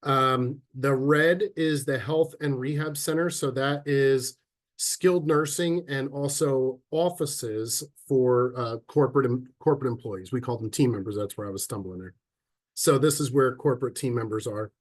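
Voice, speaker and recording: A man with a head cold and a slightly stuffy voice, giving a relaxed, chatty online presentation with frequent ums and restarts over a computer mic. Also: mid-range voice, normal speed. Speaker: sales and marketing officer presenting a webinar. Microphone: computer mic on a video call.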